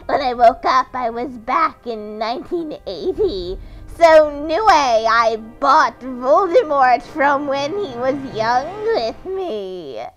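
A woman's voice: high-pitched, animated, a bit bright, heavily acted. A woman playing a melodramatic, foul-mouthed teenage vampire girl with a whiny, overwrought delivery in a story reading. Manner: whiny, overwrought, drawn-out words. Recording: music behind the voice.

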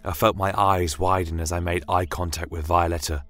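A man's voice: low voice, steady tone, moderate pace, fairly bright tone, no echo, faint music behind. A man telling a scripted first-person fantasy story as its narrator.

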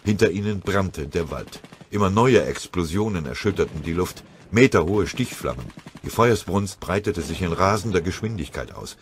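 Male audiobook narrator, low voice, dramatic scripted war narration, light battle noise underneath. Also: lively intonation, moderate pace.

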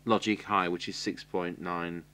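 A middle-aged man with an English accent gives an unscripted hands-on technical tutorial, halting and matter-of-fact as he thinks aloud while working. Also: sits low, level tone, bright, forceful tone. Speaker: radio repair technician giving a demonstration. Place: indoor electronics workbench. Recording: clear hiss and electrical hum.

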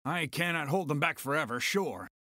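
A man's voice: a little high, animated, moderate pace, pushed and bright, no echo, clean studio sound. A man playing a cocky, irritable smuggler with sardonic delivery in a Shakespearean dramatic reading. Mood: irritated.

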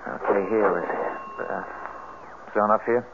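An actor playing an earnest, anxious young man from a swamp cabin in a 1970s radio drama, urgent and a little halting. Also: lowish voice, fairly even intonation, creaky. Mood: guarded. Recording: noisy, tinny vintage radio broadcast.

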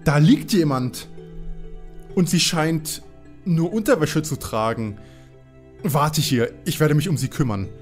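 A man playing a jittery, reluctant young detective sidekick in a parody audio drama, anxious and excitable. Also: a little high, slow, long pauses, faint music behind. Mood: eager.

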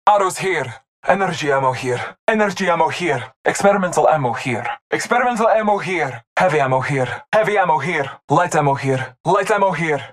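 A man's voice: a little high, animated, brisk, a bit bright, a little hiss or hum. A man voicing a solemn, devout hunter warrior in a video game, speaking in formal, ceremonial phrases. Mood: terse, alert. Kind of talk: video game character callouts.

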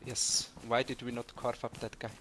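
A man from Switzerland with a Swiss accent, chatting casually in slightly halting non-native English during an online game. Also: mid-range voice, little pitch movement, fairly bright tone. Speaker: video game player. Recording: faint noise over game voice chat.

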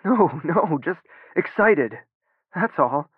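An actor playing a reserved, anxious young prince in an audio drama, hesitant and guarded. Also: male voice, a little high, expressive, takes it slowly, husky, no echo, narrow, tinny audio, heavily acted. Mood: nervous. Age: young adult.

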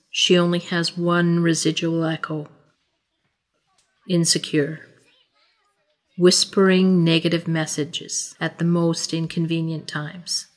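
A woman narrating a scripted story for a short film in a measured, solemn way. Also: lowish voice.

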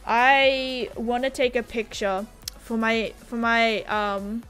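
A woman's voice: a little high, little pitch movement, takes it slowly, fairly bright tone, faint music behind. A young woman streaming a horse game, chatting casually from home on a headset and reading viewer messages aloud. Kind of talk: casual livestream chat. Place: home room at a gaming setup.

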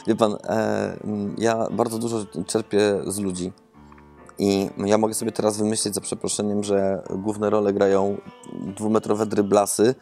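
A man's voice: lowish voice, flat delivery, measured pace, warm tone, faint music behind. A man in his thirties, a theatre director, giving rambling, thoughtful interview answers with many hesitations and repeated words, seated in an empty theatre auditorium and speaking into a lapel mic.

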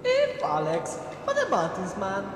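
Very high-pitched sinister killer. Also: male voice, huge pitch swings, measured pace, heavily acted. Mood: gleefully murderous. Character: eager, murderous male asylum twin killer. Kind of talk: amateur horror game voice lines. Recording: echoey hall, noisy, distant.

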